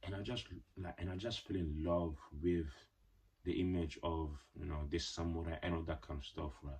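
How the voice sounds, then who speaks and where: A man's voice: deep voice, fairly even intonation, normal speed, darkish tone, slightly rough. A young man telling a casual personal story on his channel, rambling with lots of filler words and playful slangy asides. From Ghanaian parents. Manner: rambling, heavy "like" and "you know" fillers. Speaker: vlogger.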